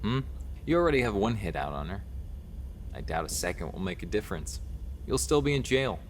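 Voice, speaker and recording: Steady male cop, deep voice, confident, matter-of-fact, audio drama, faint background noise. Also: fairly quick. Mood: cool, goading. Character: steady, determined male police detective.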